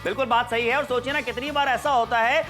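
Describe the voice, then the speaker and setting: Male TV shopping host, high bright voice, with soft music under him. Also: fairly even intonation, fast talker. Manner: persuasive, insistent calls to order. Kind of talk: teleshopping sales pitch. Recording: studio with background music.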